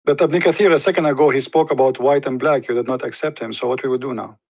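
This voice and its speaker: A man's voice: mid-range voice, fairly even intonation, quick pace, creaky, a little mellow, dry recording. A man hosting a confrontational debate, pressing and sarcastic, cross-examining his guest. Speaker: debate host.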